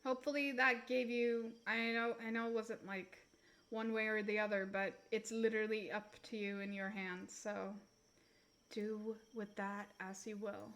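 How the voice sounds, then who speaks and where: A woman's voice: mid-range voice, level tone, measured pace, fairly bright tone. A young woman reading tarot on a live stream, rambling and giggling as she chats straight to her viewers; English is not her first language.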